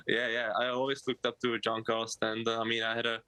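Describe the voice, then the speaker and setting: Flat-pitched young Czech man, bright and dry. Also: no room sound. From Czech Republic. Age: 20s. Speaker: college baseball player, interview guest. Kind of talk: live interview answers.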